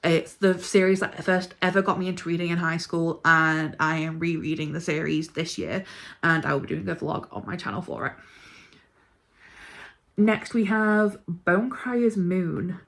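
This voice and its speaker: A woman with a slightly low voice talks in a casual, informal vlog style. She reviews books in an emphatic, gushing manner, as if chatting to followers. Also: moderate pace. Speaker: book reviewer vlogger. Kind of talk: casual chat ranking books.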